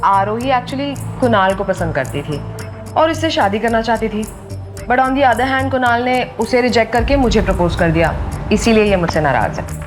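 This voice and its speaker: A woman's voice: fairly high pitch, expressive, moderate pace, over music, close to an everyday voice. A woman playing a spoiled, smug young rich woman in an audio drama, catty and mock-sweet, mixing in English. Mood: smug, gossipy. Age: young adult. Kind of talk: Hindi audio drama acting.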